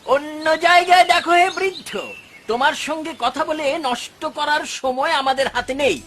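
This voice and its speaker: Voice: sits high, moderate pace, a bit bright, soft background music. An actor playing a greedy, impatient garden owner in a cartoon, pushy and easily agitated. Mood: irritated. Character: greedy, impatient young male garden owner, irritable striver. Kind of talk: dubbed cartoon dialogue.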